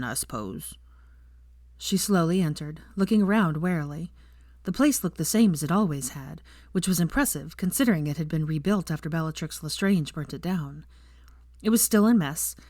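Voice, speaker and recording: A woman's voice: lowish voice, dry recording. A woman reading fiction aloud as a scripted audiobook narration, recorded close to the microphone.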